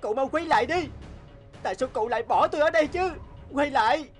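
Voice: high-pitched, fast talker, lightly acted. An actor playing a kind, eager, brawny young village fisherman in a cartoon dub. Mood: panicked shouting. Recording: music bed behind the voice.

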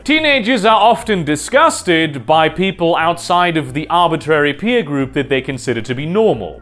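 A young man with a loud voice hosting an advice vlog from a room at home, talking emphatically with wide swings in pitch. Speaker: video essay host. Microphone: lapel mic.

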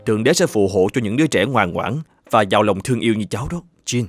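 A man voicing a kindly, reassuring middle-aged doctor in an animated moral tale. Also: lowish voice, fast, soft background music, natural voice.

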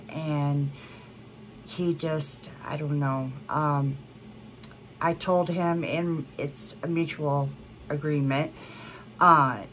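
Slow, congested woman vlogger. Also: low voice, fairly bright tone, a little hiss or hum, rough recording. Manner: slow, rambling, many fillers. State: congested from a cold. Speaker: beauty vlogger. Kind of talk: casual chat to camera. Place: home room with a plant wall and neon signs.

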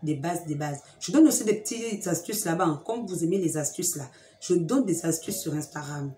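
A young woman with a cold hosting a chatty beauty vlog, talking straight to her viewers in a lively, teasing way, at home. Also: lowish voice, moderate pace, roomy sound, slight background noise. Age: young adult. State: has a cold. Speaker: beauty vlogger. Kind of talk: chatty direct-to-camera vlog. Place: room at home with a fridge and sofa.

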